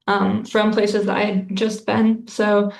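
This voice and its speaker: A woman's voice: mid-range voice, flat delivery, takes it slowly, creaky, darkish tone, roomy sound, poor audio. A woman author answering interview questions in a casual, thoughtful way, with lots of fillers and restarts.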